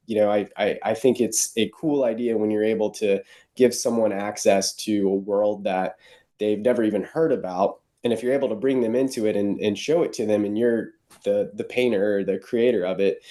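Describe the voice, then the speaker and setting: A man who grew up in Ukraine tells stories as a podcast guest, keen and chatty, with many fillers and false starts. His voice sits fairly low and has a mellow, dark tone. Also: steady tone, normal speed. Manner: enthusiastic storytelling, many fillers and restarts. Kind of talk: casual interview.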